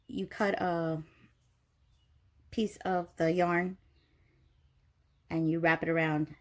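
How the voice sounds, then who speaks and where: A woman with a stuffy, allergy-clogged voice, chatting casually through a craft tutorial at home, full of ums and little asides. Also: a little mellow. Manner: chatty and rambling, many ums, gushes in a high voice over cute things. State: stuffy from allergies, clearing throat. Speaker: craft video host.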